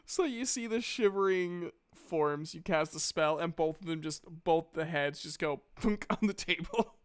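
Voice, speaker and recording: A man's voice: fairly high pitch, a little slow, clear, resonant tone. A man narrating casually as game master of a tabletop game.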